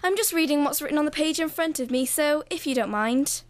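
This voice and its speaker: Young woman playing a pompous high-voiced radio historian. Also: brisk, dry recording, close to an everyday voice. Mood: grand, touchy. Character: self-important radio history narrator, touchy and grand. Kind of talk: comic radio play narration.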